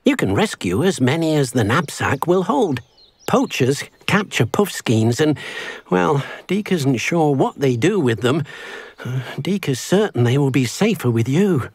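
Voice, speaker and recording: An actor playing a gentle, earnest, small elderly house-elf servant in a video game, who speaks of himself in the third person. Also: male voice, a little high, sing-song, a little slow, slightly rough, no echo, full character voice. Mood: helpful. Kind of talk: scripted video game character acting.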